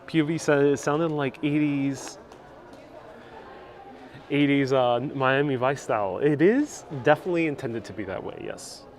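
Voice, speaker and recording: A man hosts a live stream outdoors, talking at an ordinary middle pitch into a small clip-on mic. He is chatty and upbeat, and he thanks his viewers by name as he reads out their comments. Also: measured pace. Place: outdoors in a city at sunset. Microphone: wireless clip-on mic.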